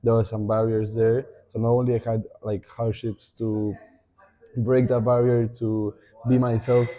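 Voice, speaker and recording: A young man with an Argentine Spanish accent, Spanish as his first language, talking as a podcast guest in a thoughtful way, pausing to think and often restarting his sentences. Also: mid-range voice, flat delivery, dark tone. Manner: reflective, thinking aloud with many fillers and restarts. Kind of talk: casual podcast conversation.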